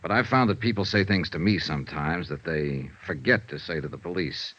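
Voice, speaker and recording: Low, cool hardboiled radio investigator. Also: male voice, brisk, fairly bright tone, no echo. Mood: calm, soothing. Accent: American. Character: cool, skeptical, hardboiled male insurance investigator. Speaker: actor in a radio detective serial. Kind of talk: 1950s radio noir acting and first-person narration. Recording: dull vintage radio recording, music behind.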